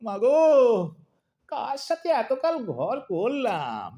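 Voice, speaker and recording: A grumbling, lovesick old man in his eighties as a woman acts him out for an audio play. The delivery is slow and plaintive, with melodramatic muttering and big swoops in pitch. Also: wildly swooping pitch, mellow, a little hiss or hum, heavily acted. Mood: self-pitying, wistful. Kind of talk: audio drama acting.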